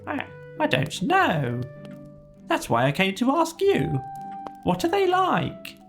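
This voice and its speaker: Woman voicing a busy, high-pitched, swooping storybook rabbit. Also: wildly swooping pitch, takes it slowly, soft background music, full character voice. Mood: flustered. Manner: emphatic, questioning. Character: busy, self-important talking rabbit, fretful and insistent. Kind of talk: children's storybook character voice.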